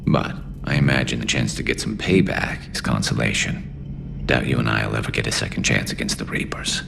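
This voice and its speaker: An actor playing a seasoned, sardonic spaceship crewman in a video game, gravelly and dryly humorous. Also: very deep voice, animated, fairly quick, heavily acted. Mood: wry, musing. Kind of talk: video game dialogue acting. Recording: clear background noise.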